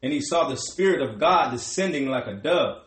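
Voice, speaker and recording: A man's voice: mid-range voice, expressive, moderate pace, fairly bright tone. A man reading Bible verses aloud in a formal, declamatory way as a scripture reader. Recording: echoey room.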